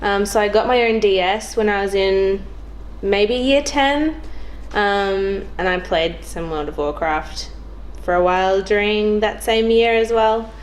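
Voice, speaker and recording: A woman's voice: medium pitch, a little slow. Young aussie woman just chatting on a podcast, kinda hesitant, informal, some noise behind her. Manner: informal, hesitant, thinking aloud. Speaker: podcast guest. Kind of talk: casual chat.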